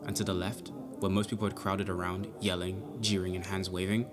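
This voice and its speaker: A man's voice: sits low, little pitch movement, brisk, crowd noise, lightly acted. A man acting as a nervous, polite young amateur detective who narrates his case in an audio drama. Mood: measured, wry. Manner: hesitant, flustered in dialogue, formal when narrating. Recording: music behind the voice.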